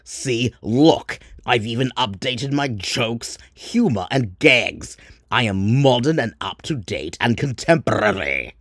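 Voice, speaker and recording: A man's voice: mid-range voice, animated, takes it slowly, fairly bright tone, husky, no room sound, studio quality, heavily acted. A man voicing a proud, literal-minded protector in a comedy sketch, declaiming emphatically and repeating himself. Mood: proudly bombastic.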